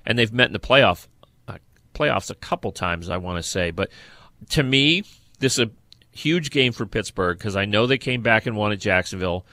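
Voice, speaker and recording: A man's voice: on the low side, expressive, moderate pace, pushed and bright, dry recording. A man co-hosting a sports podcast, chatting casually and giving emphatic opinions on football games.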